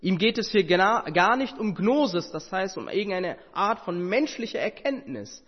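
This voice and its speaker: Earnest preacher in a reverberant hall. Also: male voice, high voice, sing-song, moderate pace, slightly rough. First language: Tamil. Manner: earnest, with rhetorical questions and hesitations. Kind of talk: sermon. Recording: muffled sound with long hall reverb.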